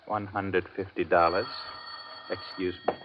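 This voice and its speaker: An actor playing a sly, unruffled pawnbroker in a radio suspense drama, speaking formally and precisely in cryptic, measured phrases. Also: male voice, deep voice, level tone. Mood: sly, philosophical. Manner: formal, measured, philosophical, precise diction without contractions. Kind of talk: 1950s radio suspense acting. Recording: dull, noisy 1950s radio recording.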